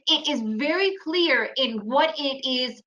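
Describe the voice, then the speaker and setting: A woman's voice: high-pitched, animated, takes it slowly, pushed and bright, muffled recording. A woman in her forties, raised in the United States and a native Russian speaker, presenting a trading webinar in a chatty storytelling way. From Ukraine, raised in the United States. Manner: chatty, storytelling, addresses audience with "right?". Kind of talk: casual presentation.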